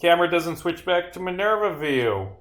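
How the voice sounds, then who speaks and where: A man's voice: sits high, very animated, moderate pace, fairly bright tone. A man who develops games, talking casually on a live stream, often swearing and muttering to himself.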